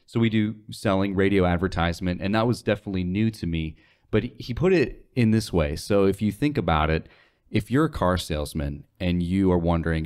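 A man's voice: low-pitched, darkish tone. A man in his twenties explaining things conversationally as a podcast guest, on a close studio mic. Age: 20s. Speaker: guest, radio sales associate. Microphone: close studio mic on desk, headphones.

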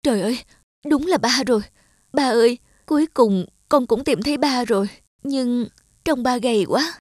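A woman's voice: fairly high pitch, normal speed, darkish tone, lightly acted. A woman playing an earnest, tender-hearted daughter in a cartoon drama, speaking with pleading emotion. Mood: anxious pleading. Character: earnest, tender-hearted daughter longing for her lost father.

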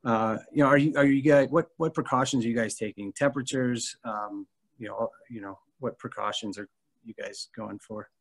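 A man's voice: mid-range voice, moderate pace, slightly rough. A man in his thirties hosting a virtual town hall, asking loose, rambling questions with restarts from an office over a video call. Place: office with whiteboard indoors. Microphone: laptop webcam on video call.

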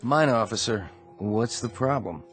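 A man acting in a radio drama as a gruff, stubborn, sardonic bus driver. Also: lowish voice, expressive, a little slow, a bit bright, faint music behind. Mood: concerned.

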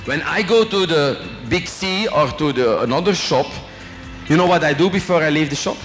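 A man's voice: fairly high pitch, lively intonation, moderate pace, fairly bright tone. A middle-aged man from Belgium with a French accent, giving a chatty, joking business talk to students. He speaks into a handheld microphone, asks the audience questions and tags his sentences with "eh?". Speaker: company executive giving a guest lecture. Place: large hotel function room with a student audience. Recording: long reverb in a big hall, faint music.

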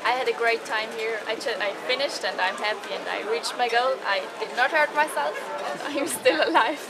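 Woman, 20s, high and cheerful; outdoor crowd noise. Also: moderate pace. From Austria. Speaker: student skater.

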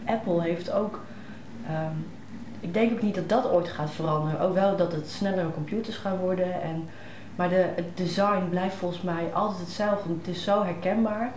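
Lowish Dutch woman in an echoey room. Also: fairly even intonation, moderate pace, darkish tone, a little hiss or hum. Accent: Netherlands Dutch. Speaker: photographer being interviewed. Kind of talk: casual interview answers. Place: living room, on a sofa. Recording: roomy echo, noticeable room ambience.

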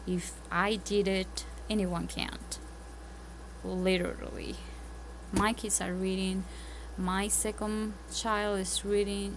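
A woman with a strong Spanish accent chats through homeschooling tips at her table, earnest and full of ums and restarts. Her phone's mic picks her up over a steady bed of clear background noise. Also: mid-range voice. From Spanish-speaking country. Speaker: homeschooling parent. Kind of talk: casual tips vlog. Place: home, at a table, indoors.

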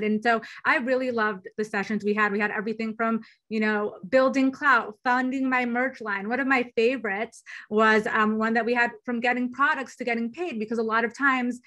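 Woman in her 30s on a video call from her bedroom, super enthusiastic, bright tone. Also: fairly high pitch. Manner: upbeat, enthusiastic, quick and lively. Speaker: platform executive guest. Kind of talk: keynote fireside chat over video call. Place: small bedroom indoors. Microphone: laptop webcam video call.

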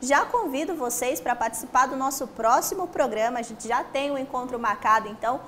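A young woman with a fairly high voice and a Brazilian Portuguese accent hosts a studio talk show. She thanks guests and announces the next show politely and formally. A lapel mic picks up faint noise behind her. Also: brisk, sharp tone. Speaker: host. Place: studio set.

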